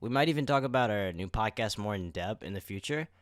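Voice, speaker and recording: Young guy cohosting a podcast, deep-ish clear voice, dry audio, talks to the listeners like an announcer. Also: expressive, fast, dry recording. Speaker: podcast co-host. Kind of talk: casual podcast chat.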